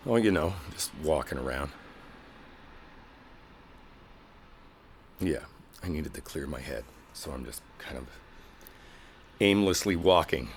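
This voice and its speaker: A man playing a frustrated, wounded boyfriend in a one-sided audio roleplay, talking in a naturalistic, halting way with a husky voice. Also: extremely low pitch, expressive, a little hiss or hum. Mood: subdued, guarded. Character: frustrated, wounded boyfriend arguing with his partner.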